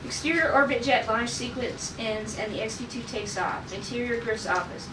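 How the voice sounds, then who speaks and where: A woman narrating stage directions at a script table read, in an informal, halting way. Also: fairly high pitch. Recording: echoey room, distant mic, noisy.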